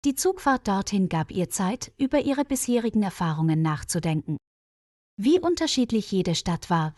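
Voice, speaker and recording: A woman's voice: medium pitch, a little mellow, no echo, clean studio sound. A woman reading a scripted story for language learners as its narrator. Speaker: narrator of stories for German learners.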